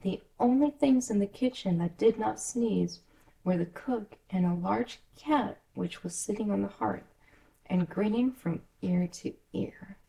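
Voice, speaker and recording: A woman's voice: medium pitch, unhurried, creaky, dark tone, breathy edge, low-quality recording. A woman reading a classic children's story aloud as a scripted narration. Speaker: storyteller, audiobook reader.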